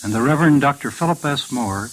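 An American man with a medium-pitched voice delivers a prepared speech in a measured, oratorical way. He sounds like a formal academic on 1940s radio, with faint hiss on the recording. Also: lively intonation, low-quality recording. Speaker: professor, scholarship committee chairman.

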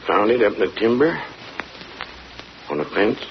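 A calm old-time country neighbor, slow drawl, dull radio sound. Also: male voice, fairly low pitch. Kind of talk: 1940s radio acting. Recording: noisy muffled old radio recording.

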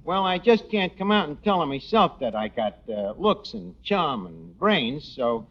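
A man playing a vain, slow-witted New York tavern manager in 1940s radio comedy, wisecracking and mangling his words. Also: a little high, big pitch swings, dull audio, exaggerated voice. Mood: smug. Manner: wisecracking, malapropism-prone, ungrammatical. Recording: noisy old radio broadcast, studio audience.

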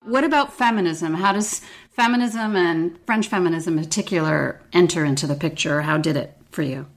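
A woman hosting an academic book podcast, polite and measured, reading a scripted introduction and asking questions. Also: on the low side. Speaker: podcast host.

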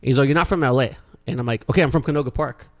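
A man's voice: mid-range voice, rapid-fire. A man in his forties, a podcast co-host telling a long, rambling story with lots of swearing and restarts. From Canada. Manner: rambling, profane, restarts often. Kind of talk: casual storytelling.

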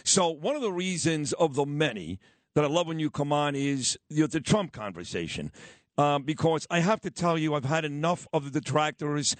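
A man's voice: a little high, lively intonation, no echo. A man with a Brooklyn accent hosting a morning radio talk show, emphatic and enthusiastic. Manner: emphatic, enthusiastic, fast-talking. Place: radio studio.

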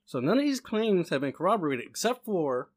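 A man co-hosting a podcast, telling a story in a hesitant way, with many pauses and restarts and a dry sense of humor. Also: high voice, sing-song.

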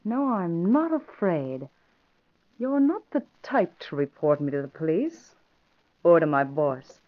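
An actress playing a coy, pleading newspaper reporter in theatrical 1940s radio drama style, halting and breathless. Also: female voice, fairly low pitch, sing-song. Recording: muffled old radio recording.

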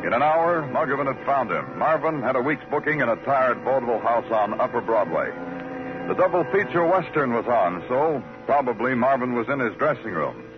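An American actor playing a wry, world-weary, laconic police detective narrating an old-time radio drama. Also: male voice, medium pitch, lively intonation, fairly quick, close to an everyday voice. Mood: wry, brooding. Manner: laconic, hard-boiled, poetic. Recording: dull old radio recording with music.

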